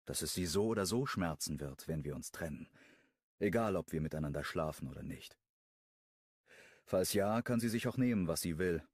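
A man reading a romance audiobook in the first person, calm and introspective. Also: sits low, moderate pace, breathy edge, dry recording, clean studio sound. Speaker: audiobook narrator.

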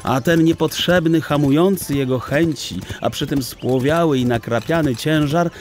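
A man narrating a children's audiobook in an expressive, theatrical storytelling style with deliberate phrasing. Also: a little high.

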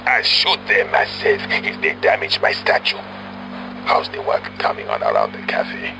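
A man's voice: extremely low pitch, wildly swooping pitch, moderate pace, vocal fry, background noise, full character voice. An actor playing a volatile, foul-mouthed villain boss in a video game, a fat man, pompous and scornful. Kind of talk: video game cutscene acting.

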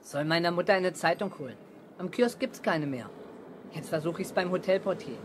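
A man's voice: high-pitched, animated, normal speed, background noise. An actor in a 1970s German children's radio play playing an eager, watchful boy detective, earnest and secretive.

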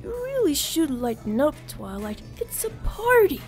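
A woman's voice: sits high, sing-song, a little slow, darkish tone, faint music behind. A woman voicing a cheeky, chatty little boy dragon in a cartoon fandub, pert and childlike. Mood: chirpy, urging.

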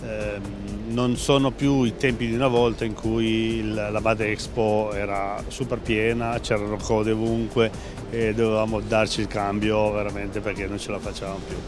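A fairly low-voiced man in his fifties answers an interviewer at a busy trade fair stand, talking into a handheld mic. He hesitates often and restarts his phrases. Music plays clearly beneath him. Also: level tone, normal speed. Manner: hesitant, many fillers and restarts. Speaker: company president interviewed. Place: busy exhibition hall stand. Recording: background music under voice.

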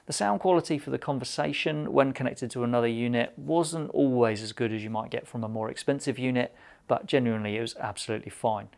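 A man's voice: medium pitch, fairly even intonation, fast, vocal fry, darkish tone. A man in his fifties with a British accent presents a product review in a conversational, matter-of-fact way. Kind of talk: scripted product review to camera. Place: indoor studio with shop display shelves.

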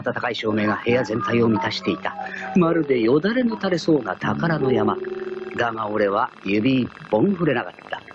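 An actor playing a cocky, proud gentleman thief, narrating his own exploits theatrically in a radio drama. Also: mid-range voice, expressive, normal speed, close to an everyday voice. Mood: sly, composed. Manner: theatrical, self-assured storytelling. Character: cocky gentleman thief, proud self-styled genius, wry. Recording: dull lo-fi archive radio recording, loud music.

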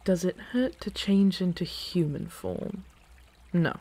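Slow-paced, playful dragon royal played by a woman. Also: lowish voice, animated, warm tone, full character voice. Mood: amused, casual. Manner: casual, teasing, halting, conversational. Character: earnest, playful young female dragon royal in human form. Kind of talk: fantasy ASMR roleplay acting.

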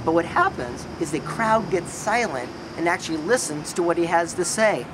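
A man's voice: on the high side, animated, normal speed, roomy sound, distant mic, background noise. A man in his forties hosting a documentary, narrating in an upbeat, chatty way and laughing often. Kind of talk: casual documentary vlog narration. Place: various indoor and outdoor locations.

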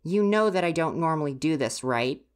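A grumpy young stagehand of university age, played by a woman, speaks in a low-pitched voice. The delivery is huffy, exasperated and easily flustered, full of sighing reproach. It is ASMR roleplay, addressed directly to the listener. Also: expressive, normal speed.

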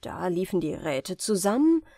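A woman narrating a fairy tale as a scripted audiobook, in an expressive storytelling manner. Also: lowish voice, normal speed, no room sound, clean studio sound.

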